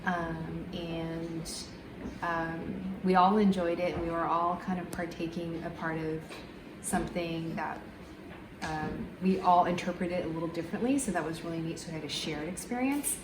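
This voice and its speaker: A woman answers interview questions in a thoughtful way, pausing often with ums. Her voice sits fairly low. The recording sounds echoey, with steady noise behind her. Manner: thoughtful, many ums and pauses.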